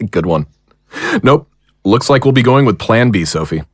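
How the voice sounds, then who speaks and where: A man's voice: fairly low pitch, lively intonation, unhurried, no room sound, clean studio sound. A man playing a commanding monster hunter who leads a ritual battle in an audio drama. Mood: focused.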